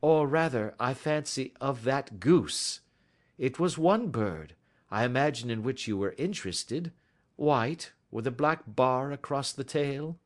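Guy doing a clever smug gentleman detective for a story, wry and precise, pitch swings about a lot. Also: animated, a little slow, natural voice. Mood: cheery, teasing. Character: shrewd, self-assured male gentleman detective, gently mocking.

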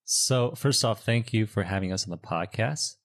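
A man's voice: lowish voice, normal speed. A man in his thirties, born in China and raised in Michigan, telling his story in an upbeat, reflective way. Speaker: recent MBA graduate guest. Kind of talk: casual interview answers.

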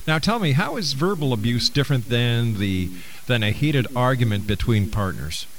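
A man's voice: mid-range voice, expressive, normal speed, a bit bright, slight background noise. A man hosting a radio interview, courteous and affectionate with his guest, with some stammered restarts. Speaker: radio talk show host.

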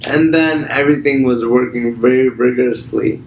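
A man from Pakistan, a podcast guest, talking casually about his business in a halting way with frequent fillers and restarts. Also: medium pitch, a little hiss or hum. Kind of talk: casual conversation. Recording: echoey room with faint ambience.

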